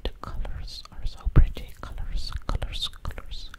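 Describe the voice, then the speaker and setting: A woman hosting ASMR in a close, breathy whisper, soothingly repeating her phrases. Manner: slow, soothing repetition of phrases. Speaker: ASMR video host. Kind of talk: ASMR roleplay pampering. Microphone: close microphone.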